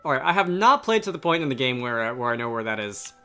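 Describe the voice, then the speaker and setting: A man giving casual Let's Play commentary, talking haltingly and poking fun at himself, and reading game dialogue aloud. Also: mid-range voice, sing-song, sharp tone, smooth, soft background music.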